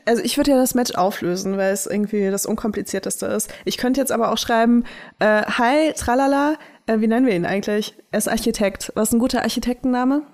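A woman's voice: on the high side, quick pace, darkish tone. A young woman chatting casually as a podcast co-host, often laughing. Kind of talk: casual chat.

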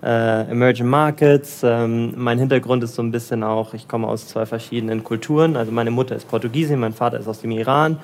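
A German man, probably in his thirties, answering interview questions in a relaxed, hesitant way with many fillers and restarts. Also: medium pitch, brisk, hardly any pauses. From Germany, raised in Bavaria. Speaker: interviewee, development finance professional in Lagos.

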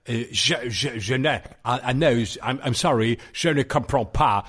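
A man's voice: mid-range voice, normal speed, fairly bright tone, breathy edge, pitched below the performer's own voice. A man voicing a flustered Englishman with an English accent, stammering through French.

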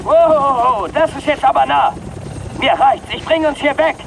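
A woman's voice: sits high, unhurried, creaky, poor audio. An actress playing a wary, dry female pilot captain in an audio drama. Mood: alarmed, annoyed. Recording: very loud noise around the voice.

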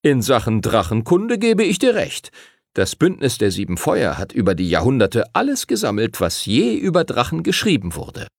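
A man's voice: mid-range voice, huge pitch swings, moderate pace, gravelly, slightly rough, no room sound, studio quality, heavily acted. A man voicing a small, friendly library master in a storybook, solemn and instructive with a playful streak. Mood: solemn, welcoming.

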